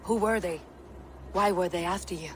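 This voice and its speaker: An actress playing a loyal, blunt Viking warrior woman in a video game, measured, stern and commanding. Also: fairly low pitch, a little hiss or hum, natural voice. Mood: grave, resolute. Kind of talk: video game cutscene acting.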